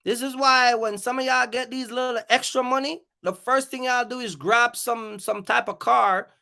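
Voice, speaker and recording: A livestream host with a bright, forceful voice and a gravelly creak runs through an off-the-cuff rant. The delivery is emphatic and challenging, with phrases repeated to hammer each point. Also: medium pitch, steady tone, normal speed. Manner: confrontational, challenging listeners. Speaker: live stream host.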